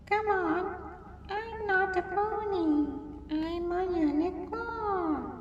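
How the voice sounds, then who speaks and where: A woman voicing a small, playful, indignant unicorn in a children's story, squeaky and protesting. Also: drawn-out words, lo-fi sound, full character voice. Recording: roomy, distant mic, background noise.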